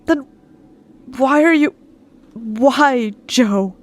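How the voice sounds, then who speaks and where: Woman acting a high-voiced, frightened captive young woman. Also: drawn-out words, heavily acted. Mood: frightened, pleading. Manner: hesitant, pleading, softly spoken. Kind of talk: 1940s-style suspense radio drama acting.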